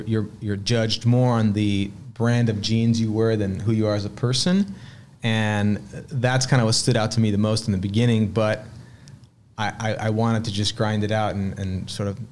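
Brief: late-30s Swedish-born man, low-pitched, unhurried storyteller, faint background hum. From Sweden. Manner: relaxed, reflective storytelling with frequent "uh" and repeated words. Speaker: restaurant owner, podcast guest. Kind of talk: casual interview conversation.

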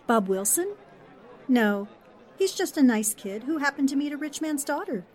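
A woman's voice: high-pitched, lively intonation, moderate pace, some chatter behind. A woman playing a shrewd, sympathetic young newspaperwoman in an old-time radio drama, wry and poised. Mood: warm, wry. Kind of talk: 1940s-style radio drama acting.

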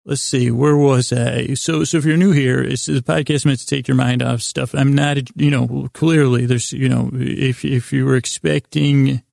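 A man with an extremely creaky, gravelly voice hosts a bedtime podcast in a very dry recording. His improvised monologue is halting and meandering, full of self-deprecating digressions. He often drifts into imagined exchanges, voicing both sides as himself. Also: mid-range voice, fairly even intonation, a little mellow, no echo. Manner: acts out imagined back-and-forth dialogue in his own voice. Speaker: podcast host.

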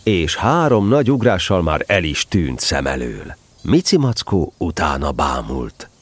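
A man's voice: low-pitched, very animated, slightly rough, no echo. A man narrating a children's story from a script as part of an audio drama.

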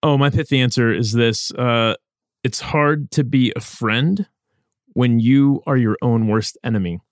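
A man's voice: medium pitch, a little slow, no room sound, clean studio sound. A middle-aged man from Ohio with an American accent, chatting casually as a podcast co-host. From Dayton, Ohio, United States. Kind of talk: casual conversational discussion. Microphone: close studio podcast mic.

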